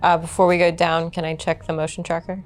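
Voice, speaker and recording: A woman's voice: lowish voice, monotone, fast. A woman playing a tabletop role-playing game, talking casually as she works out her moves. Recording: background music under the voice.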